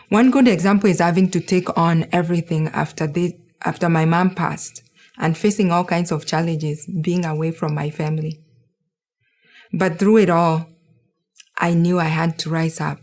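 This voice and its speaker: A woman's voice: low voice, darkish tone. A woman from Kenya, in her thirties, giving an earnest, heartfelt speech as a board member at a charity gala.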